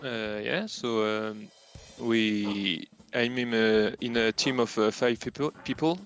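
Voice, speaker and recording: A man with a French accent, a native French speaker, answering casual interview questions haltingly as he searches for words. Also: medium pitch, faint music behind. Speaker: interview guest, game programmer.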